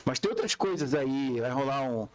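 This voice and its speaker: A man's voice: medium pitch, lively intonation, moderate pace, crowd noise. Casual male podcast host.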